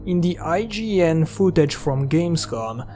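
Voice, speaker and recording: A man with a somewhat high-pitched voice reads scripted commentary as a video narrator. His delivery is measured and explanatory. Game soundtrack music plays behind him. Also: lively intonation, dark tone. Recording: music under the voice.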